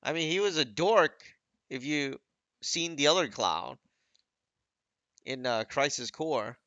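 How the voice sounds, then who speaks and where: Bright, forceful male game commentator. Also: fairly high pitch, expressive, slow, no echo, lo-fi sound. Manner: conversational, addresses viewers with questions. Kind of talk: casual running commentary over game cut scenes.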